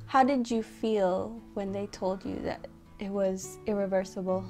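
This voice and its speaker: A woman hosting her own video channel, opening the video warmly and asking interview questions. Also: mid-range voice, steady tone, a little slow, faint music behind. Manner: upbeat, welcoming presenter. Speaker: channel host. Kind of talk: friendly video intro and interviewing.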